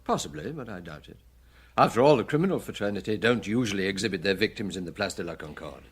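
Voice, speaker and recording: An actor with a British accent playing a dry, deliberate, deadpan police detective in a radio drama. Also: male voice, fairly low pitch, breathy edge. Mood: pensive. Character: dry, wry, unhurried male police detective chief.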